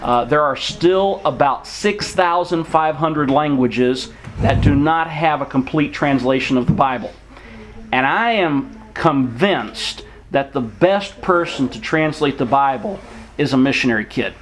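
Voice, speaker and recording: A man's voice: on the high side, a little slow, rough recording. A man who grew up in Mexico, preaching an earnest, encouraging sermon to young people and reading scripture aloud. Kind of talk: sermon to missionary kids.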